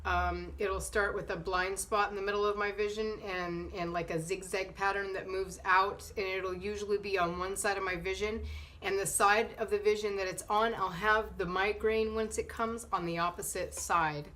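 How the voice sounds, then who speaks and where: A woman in her thirties with a cold, sounding a little nasal, talking casually to camera in a living room and pausing often with fillers. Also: medium pitch, flat delivery, a bit bright. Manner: hesitant, many fillers and restarts. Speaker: vlogger. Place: sunny living room indoors.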